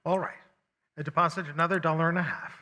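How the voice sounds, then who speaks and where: An actor playing a brisk, impersonal long-distance telephone operator in a radio drama, with clipped formulaic politeness. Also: male voice, on the high side, steady tone, background noise, lightly acted.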